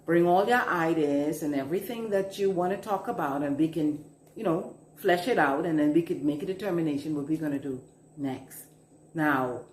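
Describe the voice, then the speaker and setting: Low-voiced Bahamian woman, echoey room. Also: a little hiss or hum. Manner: warm, chatty, repeats words for emphasis. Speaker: business consultant. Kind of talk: casual instructional talk to camera.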